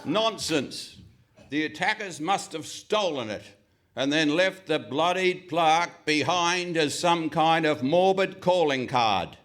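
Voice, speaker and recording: A man playing a pompous, excitable, gullible investigator hero, declaiming melodramatically in a live stage radio comedy. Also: on the high side, takes it slowly. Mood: alarmed. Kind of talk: Goon Show style radio comedy acting. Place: live stage performance in a hall.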